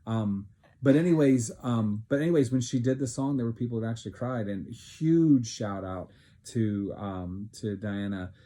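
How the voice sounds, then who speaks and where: A man's voice: lowish voice, moderate pace, warm tone. A man hosting a casual chat with his daughter, teasing and joking with many fillers. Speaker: podcast host and father.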